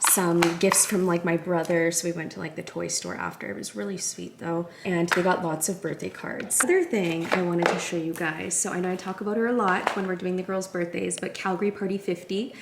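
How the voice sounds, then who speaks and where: A woman in her thirties, slightly raspy and half awake, chatting in a casual home vlog, upbeat and full of "like" fillers. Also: on the low side, fairly even intonation, brisk, hardly any pauses, very noisy. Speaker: lifestyle vlogger.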